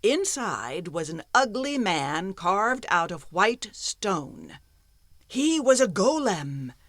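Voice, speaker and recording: A woman narrating a folktale as a storyteller, with expressive, dramatic phrasing. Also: medium pitch, dry recording, studio quality.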